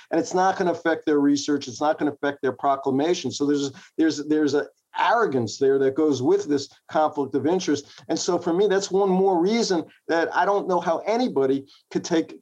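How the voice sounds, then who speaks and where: A man's voice: a little high, a little mellow, highs cut off. A man giving opinionated commentary as an interview guest, emphatic and often rephrasing his points. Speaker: interview guest, mental health professional and author.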